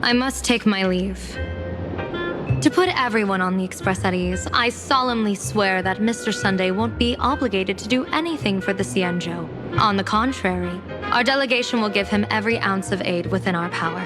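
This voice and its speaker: A woman playing an enigmatic, playful female general and fortune-teller in a video game, speaking formally in measured, theatrical phrases. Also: mid-range voice, close to an everyday voice. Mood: formal, apologetic. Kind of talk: scripted video game voice acting. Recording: loud music behind the voice. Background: game music.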